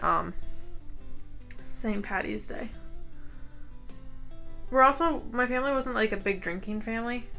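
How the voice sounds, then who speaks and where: A young woman from the United States talks through her makeup routine in a loose, rambling way, full of filler words. Her voice has a bright, clear edge, with soft music faintly underneath. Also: mid-range voice. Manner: chatty, rambling, heavy use of "like" and "um". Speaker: beauty vlogger. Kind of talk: casual get-ready-with-me chat while doing makeup. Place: home, indoors.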